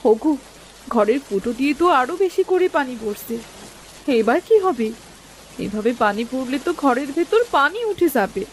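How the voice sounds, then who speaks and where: A woman's voice: high voice, lively intonation, normal speed, slight background noise. A woman playing an anxious, devout poor village wife and mother in a cartoon story, with a pleading, plaintive manner. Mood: anxious, despairing. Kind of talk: Bengali cartoon story voice acting.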